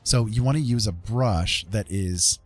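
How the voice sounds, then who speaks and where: A man with a lowish, slightly raspy voice hosts a relaxed art stream. He talks informally and rambles as he explains what he is doing. Faint music plays behind his voice. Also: measured pace. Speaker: livestream host. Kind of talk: casual chat while demonstrating digital art.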